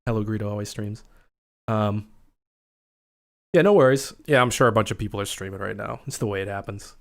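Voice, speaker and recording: A man's voice: lowish voice, fairly quick, vocal fry. A young adult man with a scratchy voice, hosting a casual livestream and chatting with viewers as he reads out their comments. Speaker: livestream host.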